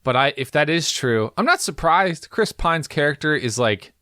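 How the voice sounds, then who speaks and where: A man co-hosting a podcast, chatting casually and reading film reviews aloud with quick asides and laughs. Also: fairly high pitch, expressive, bright, forceful tone, no echo, clean studio sound. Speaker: podcast co-host.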